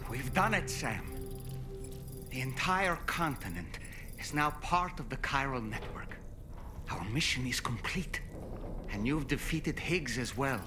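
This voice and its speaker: A composed ally in a game cutscene, played by a man, speaks formally and politely. The voice sits at a medium pitch with clear music behind it. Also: animated, measured pace, lightly acted. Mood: solemnly satisfied. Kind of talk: video game cutscene acting.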